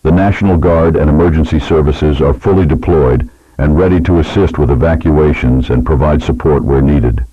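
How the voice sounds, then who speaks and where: A man playing a grave government emergency alert announcer, reading a scripted warning in a solemn, measured, authoritative way. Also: rumbling low, mellow, slightly rough, no room sound, muffled recording. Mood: grave, instructive.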